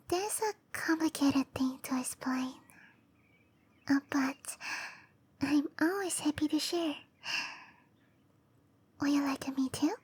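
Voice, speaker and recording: A woman voicing a shy, gentle, scatterbrained cherry blossom dryad girl in a hesitant, apologetic whisper for roleplay ASMR. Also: high voice. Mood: friendly, curious. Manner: hesitant, apologetic, breathy whispering with soft giggles.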